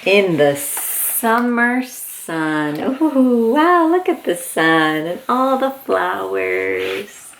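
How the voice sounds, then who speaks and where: A woman reading a picture book aloud to young children as a host, with excited, encouraging exclamations. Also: medium pitch, drawn-out words, vocal fry, few pauses, a little hiss or hum.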